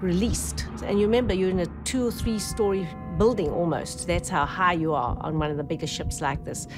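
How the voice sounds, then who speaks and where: A middle-aged woman, giving documentary testimony as a shipwreck survivor, retelling events vividly with halting restarts, in a dark studio on an off-camera mic. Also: lowish voice. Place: dark indoor interview studio.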